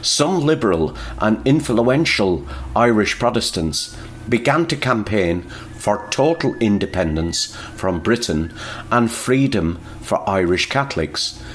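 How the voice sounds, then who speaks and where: A man reading a scripted historical narration in a measured, even storytelling manner. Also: fairly low pitch, a little hiss or hum.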